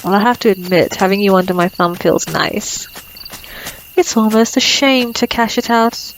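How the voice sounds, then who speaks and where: A woman's voice: medium pitch, moderate pace, a little hiss or hum, lightly acted, rough recording. Woman acting a teasing female vampire, night insects behind. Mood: menacing, flirtatious. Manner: teasing, sardonic, taunting. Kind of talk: ASMR roleplay acting.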